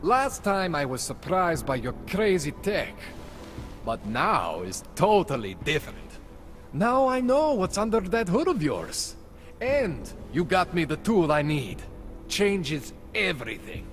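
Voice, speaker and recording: Eccentric male augmentation doctor, boastful, animated pitch, soft music behind. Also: measured pace. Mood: eager. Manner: eager, boastful, joking. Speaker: tech specialist fixing implants. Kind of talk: video game cutscene acting.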